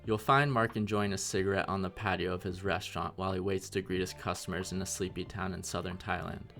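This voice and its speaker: Very deep-voiced man narrating a documentary. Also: steady tone, fast talker, creaky, fairly bright tone, soft background music. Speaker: interviewer and narrator.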